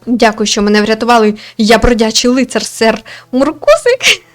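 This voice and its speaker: A woman's voice: on the high side, sing-song, normal speed, soft background music. A woman voicing a gallant, courteous male cat knight from a visual novel, speaking in a formal, chivalrous way. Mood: courteous, grateful. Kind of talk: visual novel character reading.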